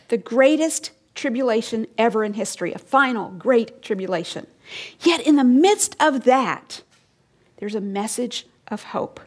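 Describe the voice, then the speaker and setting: Emphatic preacher woman, fairly high voice. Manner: emphatic, rising and falling, drops to hushed lines; explains and asks the listeners questions. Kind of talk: expository sermon.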